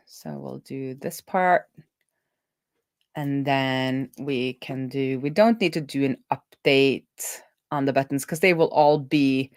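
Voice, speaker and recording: Low-pitched woman thinking aloud while coding. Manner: thinking aloud, talking through steps to herself. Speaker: web developer live-streaming a coding session.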